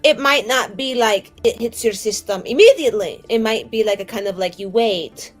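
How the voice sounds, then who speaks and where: A woman's voice: fairly high pitch, moderate pace, faint music behind, natural voice. A woman playing an eager, naive young baker in an improvised tabletop game, chatty and hesitant. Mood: earnest, rambling. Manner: chatty, hesitant, trailing into "like, you know". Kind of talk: improvised in-character tabletop roleplay.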